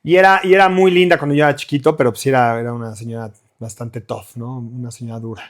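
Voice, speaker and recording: A man's voice: medium pitch, fairly even intonation, quick pace. A middle-aged man from Mexico City with a Mexican accent, a guest musician chatting casually in an interview and rambling through restarts and fillers. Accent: Mexican Spanish.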